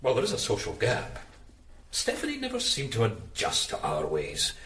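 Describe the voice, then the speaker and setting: A man's voice: mid-range voice, wildly swooping pitch, normal speed, a bit bright, reverberant. A prim, cold adoptive father. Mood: defensive. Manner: prim, evasive, disapproving. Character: stiff middle-aged adoptive father, cold and defensive. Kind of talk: radio drama.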